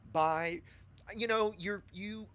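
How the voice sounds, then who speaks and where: A man's voice: high voice, slow pace, a bit bright. A man with a scratchy voice from a cold, patiently talking a new player through game setup step by step in a casual tutorial. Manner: patient, chatty instructor addressing viewers.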